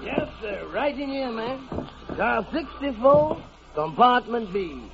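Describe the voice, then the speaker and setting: An actor playing a deferential, obliging train porter in a 1940s radio drama. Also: male voice, very high-pitched, big pitch swings, slow pace, gravelly, heavily acted. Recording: noisy, muffled old radio broadcast.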